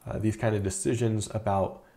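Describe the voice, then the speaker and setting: A man in his thirties, an indie game developer, talking casually through a screen-recorded devlog with a relaxed, rambling manner and many fillers. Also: fairly low pitch, a little fast. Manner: relaxed, chatty, frequent uh fillers and repeated words. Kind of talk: casual video devlog narration.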